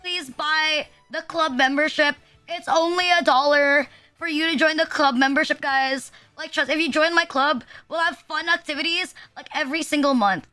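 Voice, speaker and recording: A woman's voice: high voice, fairly even intonation, normal speed, sharp tone, faint music behind. A young woman streaming with a sniffly nose, rambling casually with self-deprecating, profane asides. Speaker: VTuber streamer. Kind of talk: casual livestream chat.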